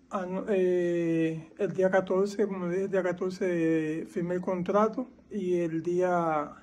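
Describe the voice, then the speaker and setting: Man in his forties, eastern Cuban accent, high pitch, slow halting testimony. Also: darkish tone. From Cuba. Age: 40s. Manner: halting, factual. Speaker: captured soldier. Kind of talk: recorded testimony.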